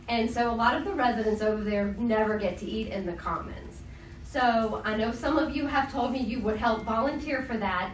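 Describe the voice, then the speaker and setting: A woman's voice: on the high side, fairly bright tone. Woman, stuffy nose, casual announcements with many ums, echoey room, mic far off, faint noise. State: nasal from a cold. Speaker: resident life staff. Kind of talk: community announcements.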